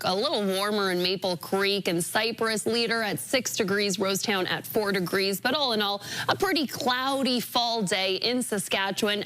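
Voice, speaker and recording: A Canadian woman presenting a regional weather forecast in a relaxed, conversational way. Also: mid-range voice, low-quality recording.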